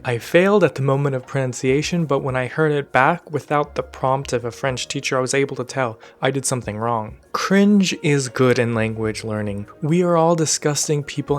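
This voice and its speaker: A young man hosting a language-learning video in a scripted but casual, self-deprecating explainer style. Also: mid-range voice.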